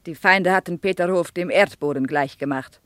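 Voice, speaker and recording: An actress playing a proud, guarded Soviet woman interpreter who speaks halting German as a native Russian speaker in a radio drama. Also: fairly low pitch, fairly bright tone, no echo, close to an everyday voice. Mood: matter-of-fact. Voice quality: foreign-accented, halting syntax. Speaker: interpreter and guide.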